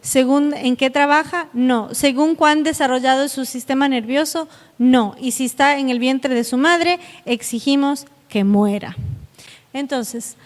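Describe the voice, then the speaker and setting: A South American woman giving a public lecture, speaking earnestly and directly to her audience. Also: sits high. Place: conference hall. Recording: echoey hall with long reverb.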